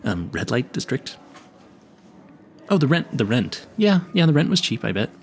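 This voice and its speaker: A man's voice: medium pitch, sing-song, moderate pace. A man playing a nervous, clueless young vampire hunter in an audio roleplay, stammering and easily sidetracked as he earnestly chats to the listener. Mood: chatty, admiring.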